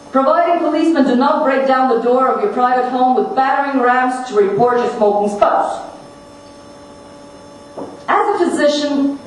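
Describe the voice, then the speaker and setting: High-voiced woman speaking in an echoey hall. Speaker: conference speaker reading another person's message. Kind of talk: formal speech read aloud from a script. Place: large echoing conference room. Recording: very echoey hall, distant mic, noisy.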